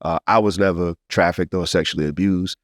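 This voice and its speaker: A man's voice: deep voice, fairly even intonation, brisk. An American man speaking as a podcast host, chatting casually to his listeners. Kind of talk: podcast intro and casual chat.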